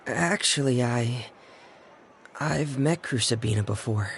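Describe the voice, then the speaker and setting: An actor playing a reserved, earnest young man in a video game, speaking gently with hesitant pauses. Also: mid-range voice, vocal fry, a little hiss or hum. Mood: subdued, earnest. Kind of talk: scripted game dialogue. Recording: background music under the voice.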